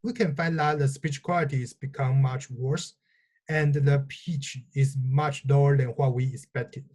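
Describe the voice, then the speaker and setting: A man presenting research in a scripted, measured, explanatory technical talk. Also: mid-range voice, fairly even intonation, darkish tone, breathy edge. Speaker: researcher presenting own work.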